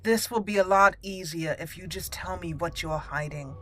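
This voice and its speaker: A woman voicing a stern, suspicious elf mage in a video game roleplay, firm and measured as she interrogates. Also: on the low side, faint music behind, close to an everyday voice. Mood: stern, probing.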